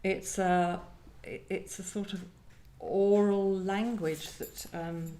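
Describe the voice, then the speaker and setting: A woman poet with a soft, dark-toned voice speaks in a literary setting. She is reflective and feels for her words, and the room rings noticeably around her. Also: mid-range voice, steady tone, slow. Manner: hesitant, searching, thoughtful phrasing. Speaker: poet discussing own work. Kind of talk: reflective literary conversation with poetry reading. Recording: roomy live event sound.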